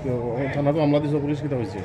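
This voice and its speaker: A man's voice: fairly high pitch, fairly even intonation, very fast. A man with a Tripura Bengali accent working as a field reporter, asking short interview questions.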